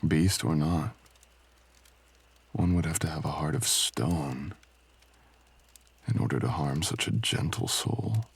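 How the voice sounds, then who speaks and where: Man acting a gravelly, slow-talking dragonkin stranger. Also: lively intonation, exaggerated voice. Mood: teasing, tender. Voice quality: low, gravelly, husky. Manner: soft, hesitant, tender, archaic courtly phrasing. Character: brooding, gentle dragonkin man, a mysterious stranger. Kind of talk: intimate roleplay ASMR audio acting.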